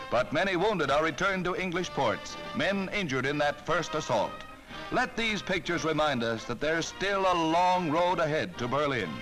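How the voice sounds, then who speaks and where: A man's voice: on the high side, rough recording. A man with an American accent narrating a 1940s newsreel in a rousing, declamatory, patriotic way, on a vintage soundtrack. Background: music.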